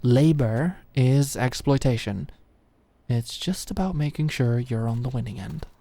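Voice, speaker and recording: A woman's voice: rumbling low, measured pace, gravelly, dark tone, full character voice. A woman playing a cocky, adoring young British businesswoman in a scripted audio roleplay, smug and teasing. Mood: smug, confident. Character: Alex, cocky, adoring young queer businesswoman celebrating with fiancée.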